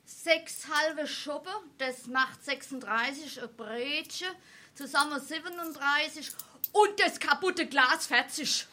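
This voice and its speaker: A woman playing a brisk, scolding tavern waitress in a Darmstadt Hessian dialect stage comedy. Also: sits high, sharp tone, full character voice. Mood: matter-of-fact.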